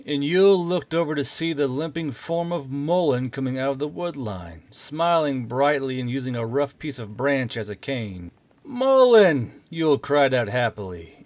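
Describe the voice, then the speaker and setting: A man's voice: medium pitch, no echo. A man reading scripted military fantasy narration in a dramatic storytelling style. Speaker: storyteller reading own channel's audiobook.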